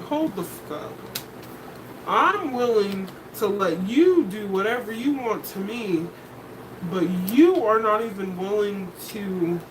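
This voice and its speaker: A woman with a deep voice giving a casual tarot reading, blunt and candid, swearing and laughing between points. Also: recorded from a distance, noisy background. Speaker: tarot reader.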